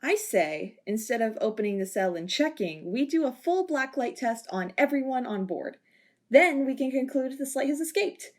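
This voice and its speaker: A woman's voice: sits high, big pitch swings, normal speed, darkish tone. A woman playing a cocky, teasing female security chief aboard a ship, in an amateur audio drama. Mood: scheming. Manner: brash, teasing, bossy.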